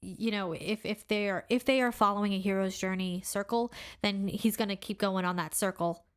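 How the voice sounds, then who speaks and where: A woman's voice: medium pitch, steady tone, fairly quick, clear, resonant tone. A woman co-hosting a podcast in casual chat, talking eagerly with lots of filler words. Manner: enthusiastic, heavy use of "like" and "really cool". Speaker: podcast co-host.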